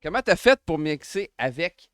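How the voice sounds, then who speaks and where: A man with a Quebec French accent hosting a casual livestream from home, excitable and quick to exclaim and joke. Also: a little high, clear, resonant tone, dry recording, clean studio sound. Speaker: livestream host.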